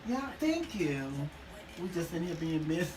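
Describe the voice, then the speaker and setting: A woman's voice: lowish voice, big pitch swings, moderate pace, recorded from a distance, over music. Teasing woman radio host, off-mic. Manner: teasing, calls people honey and baby. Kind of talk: casual off-air chat. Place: radio studio.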